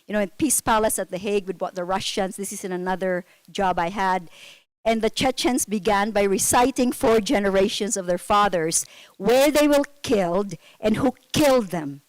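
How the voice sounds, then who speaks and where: A woman's voice: medium pitch, normal speed, no room sound, low-quality recording. Candid Filipino-born woman on a panel. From Philippines. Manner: earnest storytelling, candid and self-critical. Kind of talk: conference panel talk.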